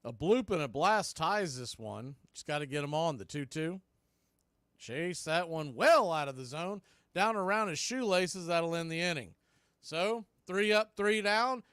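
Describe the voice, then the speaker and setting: A man hosts a live baseball radio broadcast, calling the game pitch by pitch. His voice sits a little high for a man and is recorded dry and close. He talks in clipped phrases, slipping in short asides between pitches. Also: animated, a little slow, a bit bright, smooth, no echo. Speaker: baseball play-by-play announcer and show host.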